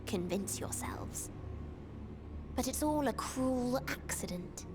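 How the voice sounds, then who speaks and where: A woman playing a sorrowful, nihilistic young otherworldly being in a video game, speaking in solemn, measured, fatalistic lines. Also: fairly high pitch, expressive. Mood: bleak, resigned. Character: sorrowful, nihilistic young female hive-mind being from the stars. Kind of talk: video game cutscene acting. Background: music.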